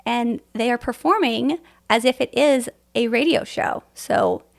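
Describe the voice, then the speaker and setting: A woman's voice: on the high side, measured pace, creaky. A woman who directs theater, speaking as a podcast guest in a casual, explanatory interview style.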